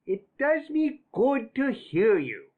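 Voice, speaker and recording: A woman's voice: on the high side, sing-song, phone-quality sound, voice pushed up, full character voice. A woman putting on a wheedling old crook. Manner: wheedling, slow. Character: wheedling old male criminal, sly and fawning.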